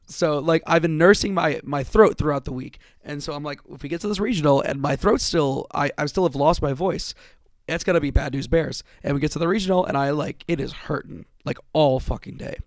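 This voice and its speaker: A man hosting a casual podcast, his voice sore and worn out after days of strain. He sits a little high in pitch for a man and talks in a rambling way, swearing casually and often restarting sentences. The recording is close and dry, with almost no room sound. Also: no echo. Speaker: podcast host.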